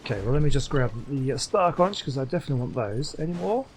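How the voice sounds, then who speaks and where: A man's voice: mid-range voice, animated, quick pace, a little mellow, slight background noise. A man giving casual gameplay commentary, thinking aloud and muttering to himself as he plays. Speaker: gaming host. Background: video game sound.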